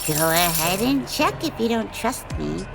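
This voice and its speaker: A woman's voice: medium pitch, expressive, measured pace, vocal fry. A woman voicing a wild, cocky female fighter who talks in a playful, taunting way. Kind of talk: ASMR audio drama roleplay. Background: background music.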